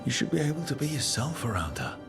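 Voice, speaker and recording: An actor playing a supportive, reassuring leader of an adventuring team in a video game. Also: male voice, mid-range voice, wildly swooping pitch, fast, creaky. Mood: gentle, supportive. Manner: reassuring, encouraging. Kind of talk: video game cutscene acting. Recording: music behind the voice.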